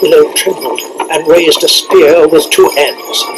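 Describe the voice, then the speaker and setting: A man giving dramatic scripted narration for a children's read-along cassette, over loud music and effects. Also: on the high side, measured pace, rough recording. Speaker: storybook narrator.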